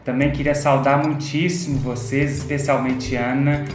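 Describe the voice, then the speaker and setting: A man's voice: mid-range voice, little pitch movement, moderate pace. A man in his twenties from Minas Gerais, with a Mineiro accent tinged by the north of the state, introducing himself playfully as a guest. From Minas Gerais, Brazil. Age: 20s. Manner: playful, laughing. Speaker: history teacher, podcast guest. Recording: roomy sound from a distance, music bed.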